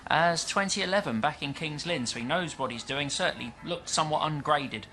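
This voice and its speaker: A British man giving live commentary on a stock car race, chatty and explanatory, pausing often with fillers. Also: a little high, pushed and bright, slight background noise. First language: English. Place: at a dirt track event.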